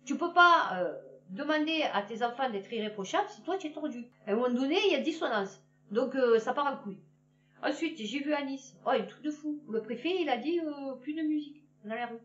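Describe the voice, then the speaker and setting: A woman's voice: high-pitched, expressive, a little fast, a bit bright, roomy sound, recorded from a distance, lo-fi sound. A woman from Marseille with a Marseille accent, ranting in a casual, blunt and slangy way and laughing at her own jokes. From Marseille, France. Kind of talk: casual political rant to camera.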